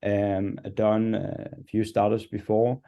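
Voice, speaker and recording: A man from Denmark, a software engineer, introducing himself haltingly on a video call. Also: lowish voice, flat delivery, vocal fry, lo-fi sound. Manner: halting, many fillers.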